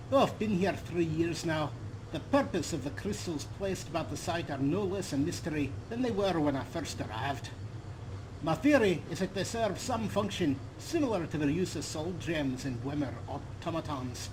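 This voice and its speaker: A man's voice: on the high side, lively intonation, normal speed, lightly acted. A man reading a game journal aloud as an earnest, fussy fantasy scholar, formal and emphatic. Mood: intrigued, pondering. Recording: clear background noise under voice.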